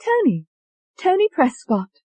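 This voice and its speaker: Narrator doing a cheeky english woman, sultry, mocking, way higher, dry audiobook sound. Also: wildly swooping pitch, takes it slowly, dark tone, no echo, exaggerated voice. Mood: defiant.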